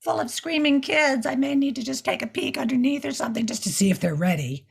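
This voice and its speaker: An older woman with a scratchy, hoarse voice, answering interview questions thoughtfully over a remote call and pausing often on fillers. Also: sits high, animated. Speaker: guest mime artist.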